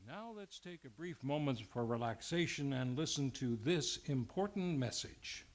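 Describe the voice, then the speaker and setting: A man working as a radio show announcer, reading scripted promotion with a showy, upbeat delivery. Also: fairly high pitch. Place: radio studio, live performance.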